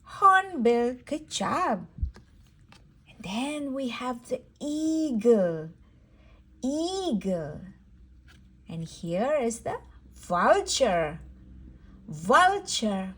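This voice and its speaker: A woman's voice: fairly high pitch, big pitch swings, very slow, long pauses, fairly bright tone, husky. A woman leading a read-aloud word lesson for toddlers, sing-song and encouraging, repeating words for a small child. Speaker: children's educator and host.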